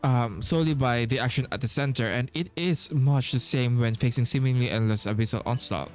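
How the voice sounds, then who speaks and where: A man's voice: medium pitch, fairly quick, smooth. A man streaming a game, reading its text aloud and commenting, stumbling and repeating himself as he goes. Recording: faint game music underneath. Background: faint game music.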